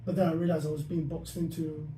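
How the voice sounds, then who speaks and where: A man's voice: fairly high pitch, flat delivery, fast, mellow. A young man hosting a podcast, talking through his thoughts with many restarts. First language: not Dutch. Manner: talks in bursts with many restarts. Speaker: podcast host. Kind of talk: casual discussion. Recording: echoey room, mic some distance off, faint noise.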